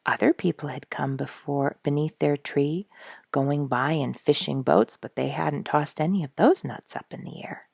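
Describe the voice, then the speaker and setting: A woman reading a children's animal story aloud in an expressive, dramatic storyteller's manner. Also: low voice, normal speed, vocal fry, husky, dry recording, clean studio sound. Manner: dramatic, rhetorical questioning delivery.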